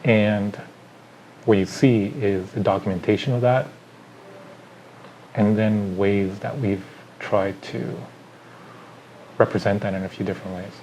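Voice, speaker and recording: A middle-aged man from Chile, an artist, speaking reflectively in an interview, pausing often to gather his thoughts. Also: on the low side, steady tone, a little mellow, slight background noise, low-quality recording. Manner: thoughtful, pauses with fillers, lists ideas.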